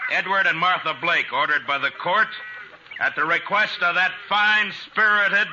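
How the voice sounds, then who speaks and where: An actor playing a steady American frontier marshal in a 1950s radio western, plain-spoken and dry in manner. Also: male voice, sits high, lightly acted. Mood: loud, sardonic. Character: steady, fair-minded frontier US marshal, sardonic lawman. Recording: muffled, noisy 1950s radio recording.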